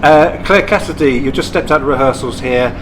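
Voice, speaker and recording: A man's voice: medium pitch, a little fast. A man working as an interviewer, asking questions in a casual, conversational style. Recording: clear background noise.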